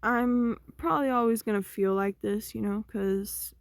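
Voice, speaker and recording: A young woman vlogging, talking through her thoughts in a slow, meandering, conversational way that often trails off. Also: fairly high pitch, dry recording, clean studio sound. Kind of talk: rambling personal monologue.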